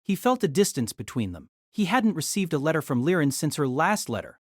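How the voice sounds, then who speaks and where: A man tells an audio drama story in an expressive way. His voice is rather high and moves up and down a lot. The recording is dry and close. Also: fairly quick, no echo. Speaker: narrator. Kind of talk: scripted audio drama narration.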